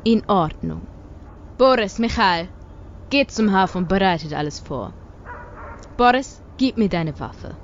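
Woman playing a slow, menacing villainess. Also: medium pitch, lively intonation, muffled recording, slight background noise. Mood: commanding. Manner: condescending, menacing, drawn-out delivery. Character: cold, mocking villainess, ringleader holding children captive. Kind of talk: radio drama acting.

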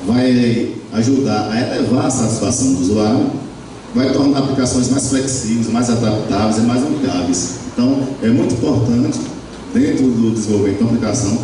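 A man's voice: fairly low pitch, brisk, background noise. A middle-aged man giving a university lecture into a handheld mic, explaining step by step with frequent tag questions and fillers. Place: lecture room with projector. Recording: very echoey room, far from camera.

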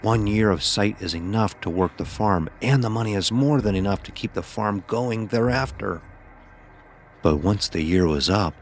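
A man narrating a dramatic first-person horror story in a grave, measured manner. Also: low voice.

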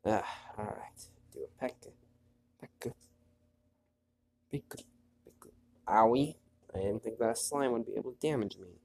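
Male let's-play host, stuffy nose, casual commentary, quietly reads game text. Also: gravelly. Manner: relaxed, chatty, reads story text in a quiet low voice. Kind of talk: casual gameplay commentary, reading on-screen text aloud.

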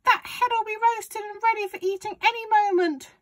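A woman voicing a cantankerous, boastful old grandmother from a children's storybook, shouting and scolding. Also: extremely high pitch, lively intonation, moderate pace, dry recording, clean studio sound, voice pushed up. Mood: scolding, alarmed. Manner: shouting, accusatory, crowing.

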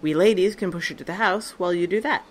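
A woman's voice: lowish voice, fast, close to an everyday voice. A woman playing Tessa, a tough, sarcastic and profane survivor, in a fan audio drama. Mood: wry.

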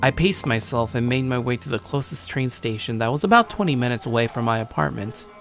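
A jaded young shut-in played by a man narrates his own story in a mid-pitched voice. He is sardonic and weary, dropping muttered asides, with music behind him. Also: a little fast, fairly bright tone, lightly acted. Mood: matter-of-fact. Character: jaded, self-conscious young male shut-in anime fan. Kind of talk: first-person dramatized story narration. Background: background music.

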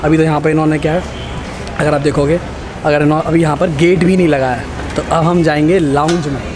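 A young man with a high-pitched voice chats enthusiastically while vlogging into a camera held at arm's length. He keeps up a casual travel-guide patter as he moves through busy public places. Loud street and terminal noise stays behind him the whole time. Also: steady tone, fast. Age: young adult. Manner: chatty, enthusiastic, mixes English into Hindi. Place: streets, bus and airport terminal. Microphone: handheld camera at arm's length.

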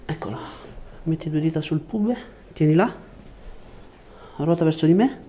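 A man's voice: a little high, moderate pace, warm tone, slight background noise. A man in his thirties, a chiropractor, giving a patient short, reassuring instructions during a hands-on exam. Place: small treatment room indoors. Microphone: lapel mic.

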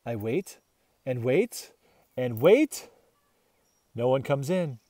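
A man reading a children's storybook aloud with expressive, dramatic narration. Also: mid-range voice, slow pace, dry recording. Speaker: storybook reader.

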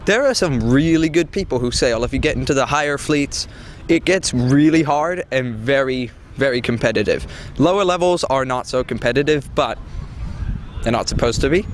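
A man's voice: mid-range voice, expressive, normal speed, slight background noise. A teenage boy, a student sailor, explaining the sport in a matter-of-fact way outdoors on a sports field. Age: teens. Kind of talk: interview answers.

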